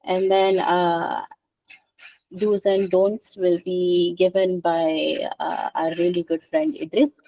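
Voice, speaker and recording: A woman's voice: fairly low pitch, gravelly, dry recording, distant mic, muffled recording. A young woman from India presenting arrival tips in a webinar, friendly and informal with frequent fillers. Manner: friendly, informal, slightly halting. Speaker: student association organizer.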